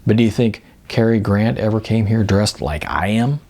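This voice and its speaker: A man's voice: on the low side, normal speed, darkish tone. A man voicing a contented, wistful, overweight male model in an audiobook, chatting casually.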